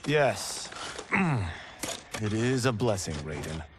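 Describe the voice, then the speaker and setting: A cocky, restless young farmer in a video game. His delivery swings widely in pitch yet takes its time, over clear background noise. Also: slow pace. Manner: animated, cocky. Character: restless, boastful young male farmer longing for glory. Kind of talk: video game cutscene acting.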